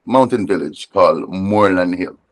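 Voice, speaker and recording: A Jamaican man with a fairly low-pitched voice speaks in an unhurried, thoughtful way. He often repeats a word or restarts a sentence while he reaches for the next thought. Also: no echo. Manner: reflective, frequent restarts and repeated words. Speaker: reggae artist, guest. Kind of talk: conversational interview.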